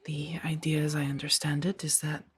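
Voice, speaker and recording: A woman playing a gentle, earnest Jedi in a soft-spoken ASMR roleplay, speaking in a hesitant, breathy murmur. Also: sits low, level tone, moderate pace. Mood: tender, conflicted. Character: gentle, earnest young female Jedi, tenderly conflicted.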